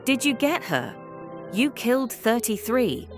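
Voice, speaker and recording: A woman's voice: on the high side, sing-song, measured pace. A woman playing a defiant, taunting female bird in an audio play, speaking in a declamatory way. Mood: accusing, bitter. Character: defiant, mocking female bird, disillusioned former propagandist. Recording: music behind the voice.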